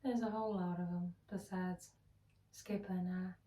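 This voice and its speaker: A woman playing a wounded, bitter wife in a dramatic stage monologue, speaking in halting, broken phrases. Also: on the low side, fairly even intonation, warm tone, natural voice. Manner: halting, broken phrases with heavy pauses, at times whispered. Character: wounded, bitter Southern wife confessing to her husband. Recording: roomy echo, distant microphone.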